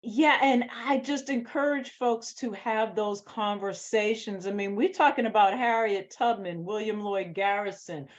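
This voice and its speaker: An American woman speaks as a screenwriter answering questions, in a slow, deliberate pace. She is emphatic and passionate about what she explains, and the recording is a little dull and lo-fi. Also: medium pitch. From Boston, United States. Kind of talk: post-reading Q&A talk. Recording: dull lo-fi sound.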